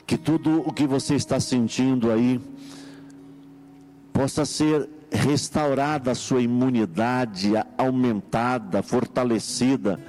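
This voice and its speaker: A man hosting a late-night radio prayer line, his voice mellow and dark. He prays slowly and solemnly, drawing out each petition, and answers the caller with soft, steady affirmations. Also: mid-range voice. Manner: slow solemn praying, calm affirming murmurs.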